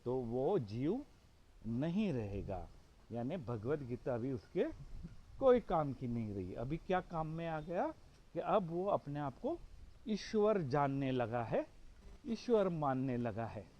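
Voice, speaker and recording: Slow, hoarse man giving spiritual discourse. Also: medium pitch, very animated, warm tone, poor audio. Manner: poses rhetorical questions and answers them himself. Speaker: spiritual teacher.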